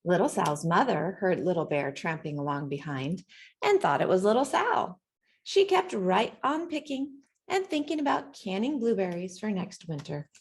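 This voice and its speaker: Lady reading a kids picture book out loud, really lively up and down voice, bit of gravel in it. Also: mid-range voice, normal speed, slight background noise. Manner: animated, acts out sounds and dialogue. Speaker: storybook reader. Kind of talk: expressive children's picture-book read-aloud.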